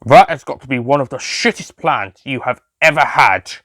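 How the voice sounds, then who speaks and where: A man's voice: mid-range voice, animated, normal speed, a bit bright, dry recording, pitched above the performer's own voice. A man voicing a wry, sardonic time-travelling alien hero with a dry, mocking manner in an audio story.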